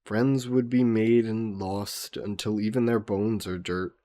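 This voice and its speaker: Man playing a slow, low-voiced gentle vampire scholar. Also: steady tone, lightly acted. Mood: wistful. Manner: courteous, reflective, musing with hesitant pauses. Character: gentle, wistful, gently teasing immortal male vampire scholar. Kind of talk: intimate scripted audio roleplay.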